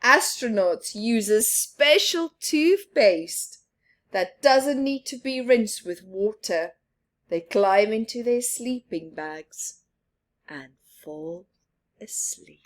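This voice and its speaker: A woman reading a children's picture book aloud, expressive, with soft laughs and whispered asides. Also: fairly high pitch, slow pace. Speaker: storyteller.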